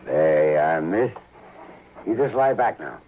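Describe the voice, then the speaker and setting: Animated, folksy frontier cabin homesteader. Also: male voice, very deep voice, a little slow, full character voice. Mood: kindly, joking. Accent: American. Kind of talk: old-time radio western acting. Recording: muffled, noisy old radio broadcast recording.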